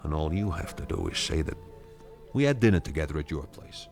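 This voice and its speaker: An actor playing a vengeful male war veteran in a 1970s radio drama, calmly and persuasively planning a murder. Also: a bass voice, a little fast, soft background music, heavily acted. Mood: scheming coldly. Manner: cold, persuasive, matter-of-fact about killing.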